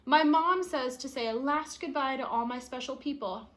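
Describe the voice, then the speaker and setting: Lady with a high voice reading a kids picture book out loud, expressive storytime style. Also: normal speed. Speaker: read-aloud storyteller. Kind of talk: expressive children's picture book read-aloud with asides about pictures.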